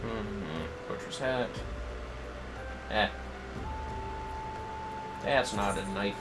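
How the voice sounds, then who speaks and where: A man's voice: drawn-out words, over loud music, poor audio. A man livestreaming a game, muttering casual, wry, offhand commentary to himself. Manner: low-key, wry, offhand.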